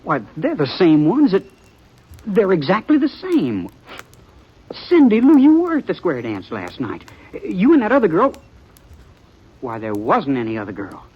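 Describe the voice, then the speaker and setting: A man's voice: sits high, huge pitch swings, a little slow, long pauses, husky, exaggerated voice. A man acting an earnest, lovestruck young man of the Old West in a radio drama, American, with hesitant, stammering speech. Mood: eager, amazed. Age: young adult. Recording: muffled old radio broadcast.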